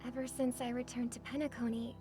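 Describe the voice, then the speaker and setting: A woman voicing a graceful young female singer, speaking softly and with calm earnestness. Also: a little high, fairly quick, music in the background, natural voice. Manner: soft, composed, earnest. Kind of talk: game voice acting.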